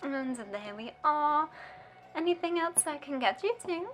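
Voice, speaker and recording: A woman's voice: high-pitched, animated, unhurried, fairly bright tone. A woman playing a cheery, bustling pub waitress with breezy, familiar patter in an audio roleplay. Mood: brisk, friendly. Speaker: voice actor. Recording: music behind the voice.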